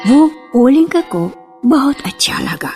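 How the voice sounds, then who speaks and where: A woman narrating a literary radio drama in an expressive, deliberate storytelling style, lingering on key words. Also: sits high. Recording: archival radio broadcast with faint music. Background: faint music.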